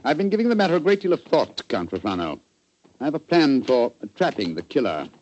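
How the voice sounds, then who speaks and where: A man's voice: mid-range voice, sing-song, brisk, a little mellow, close to an everyday voice. Man playing commanding english detective, dramatic swooping delivery, noisy old radio recording. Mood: assured. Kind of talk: 1940s radio acting. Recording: muffled, noisy 1940s radio broadcast.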